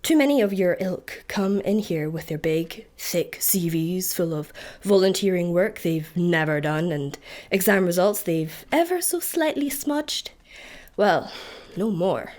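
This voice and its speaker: Menacing Scottish job centre interrogator. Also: female voice, on the low side, animated, a little slow, darkish tone. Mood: smug scorn. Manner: officious, gleefully sinister, cutting. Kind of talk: comic radio play acting.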